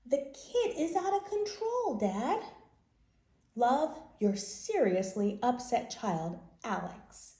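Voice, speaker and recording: A woman's voice: fairly high pitch, big pitch swings, slow pace, mellow, roomy sound, voice pushed up, heavily acted. A woman voicing an indignant young boy who writes dramatic, mock-formal letters in a children's storybook. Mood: exasperated, upset. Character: indignant young boy writing complaint letters to his dad.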